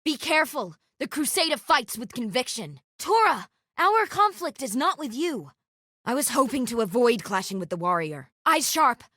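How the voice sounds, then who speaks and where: An actress playing a stern, vengeful interrogator in a video game, clipped, commanding and threatening. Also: female voice, high voice, big pitch swings, moderate pace, bright, forceful tone, no room sound, studio quality, heavily acted. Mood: scornful, then alert, defiant. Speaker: game character voice.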